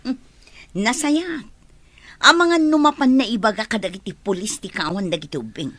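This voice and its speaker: A woman's voice: fairly high pitch, normal speed, a bit bright, dry recording. A woman playing an uneasy schemer in an audio drama. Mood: wary, calculating.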